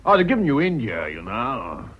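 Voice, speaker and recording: An actor playing a blustering but fond old aristocrat in a British radio drama, gruff and clipped, with an upper-class British accent. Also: male voice, mid-range voice, wildly swooping pitch, normal speed, highs cut off. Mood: fond, reassuring.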